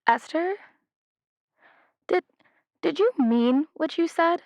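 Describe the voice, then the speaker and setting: A woman's voice: very high voice, expressive, darkish tone, no echo, heavily acted. A woman playing a flighty, apologetic, affectionate ghost in an audio drama, speaking hesitantly as a caller to an advice show over a lo-fi phone line. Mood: anxious, vulnerable. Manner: hesitant, halting.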